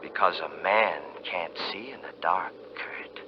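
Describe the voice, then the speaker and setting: An actor playing the eerie inner voice of a man's caution, speaking slowly in a taunting, half-whispered way, in a 1950s radio drama. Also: deep voice, slight background noise. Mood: menacing. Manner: slow, insinuating, half-whispered. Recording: muffled vintage radio recording.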